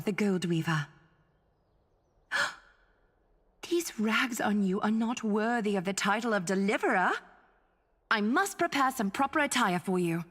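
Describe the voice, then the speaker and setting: A dignified, weary noblewoman in a fantasy game. The voice is husky-soft and medium in pitch, formal and poised, with long reverb around it. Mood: gracious. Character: solemn, dignified noblewoman weaver, gracious and weary. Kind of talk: scripted game voice acting. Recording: long reverb, music behind.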